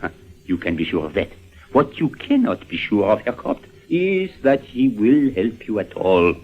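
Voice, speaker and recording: A husky, wildly swooping sly middleman. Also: fairly low pitch, very animated, moderate pace. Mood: smooth, evasive. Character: wheedling, cagey male con man posing as business middleman. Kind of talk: old radio drama acting. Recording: dull lo-fi old radio recording.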